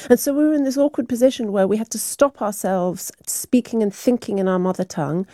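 A woman who works as a cultural critic, talking in an earnest, animated way in a radio discussion. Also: mid-range voice, fairly quick, no echo, clean studio sound. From England.

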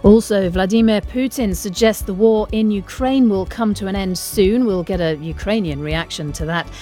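A woman presenting radio news and interviewing guests in a measured broadcaster's delivery. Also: medium pitch, dark tone, soft background music.

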